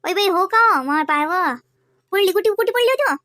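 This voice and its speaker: A woman's voice: very high voice, animated, bright, forceful tone, dry recording, full character voice. A woman voicing chatty, homely village women in a family cartoon, with a rural Varhadi Marathi accent and a gossipy, colloquial manner. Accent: Varhadi Marathi, rural Vidarbha. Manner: gossipy, colloquial, drawn-out kinship exclamations.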